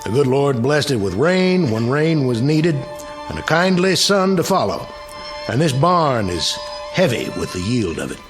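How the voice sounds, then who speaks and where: A man's voice: medium pitch, big pitch swings, unhurried. A man playing a proud, hospitable middle-aged farmer father with an Appalachian accent, making a hearty speech in a radio drama. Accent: Southern US Appalachian. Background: music.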